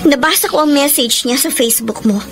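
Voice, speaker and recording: A high, emotional young woman in a radio drama. She is a loving but wounded, suspicious girlfriend who speaks earnestly. Music plays under her voice. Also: brisk. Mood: hurt, confronting. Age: young adult. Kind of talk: Tagalog radio drama acting and letter narration.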